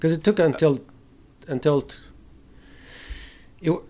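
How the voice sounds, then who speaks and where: A man co-hosting a film podcast, talking casually and haltingly, with some informal swearing. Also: on the high side, warm tone.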